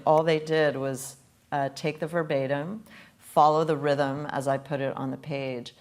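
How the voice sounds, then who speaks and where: Woman host, very low voice, halting delivery full of restarts, talk in a museum hall. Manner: hesitant, many restarts, switches between French and English. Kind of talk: bilingual public talk. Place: museum hall before an audience.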